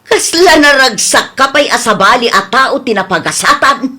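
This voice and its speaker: Brief: woman plays an exclaiming mother for radio drama, fairly high voice. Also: lively intonation, moderate pace, sharp tone, full character voice. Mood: worried. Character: middle-aged mother, fussy and talkative.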